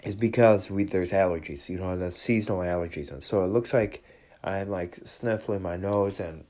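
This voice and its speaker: A man's voice: low voice, moderate pace, a little mellow. Congested man preaching casually. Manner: earnest, conversational, with restarts. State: congested from seasonal allergies. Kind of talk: casual sermon.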